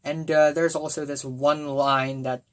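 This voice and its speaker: Young man, raspy voice, excitable album reviewer. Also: fairly high pitch, unhurried, soft background music. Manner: excitable, many fillers, bursts of enthusiasm. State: raspy from talking a lot. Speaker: music reviewer. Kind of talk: casual spoken album review.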